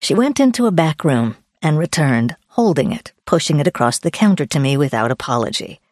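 A woman's voice: low-pitched, sing-song, a little fast, a little mellow, dry recording, clean studio sound. A woman reading a first-person memoir as a scripted audiobook narration.

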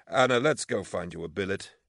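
A man voicing a gruff, commanding military commissar in an audiobook, dry and sardonic. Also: low voice, fairly quick, fairly bright tone, no echo. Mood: dry, gentle. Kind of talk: audiobook character acting.

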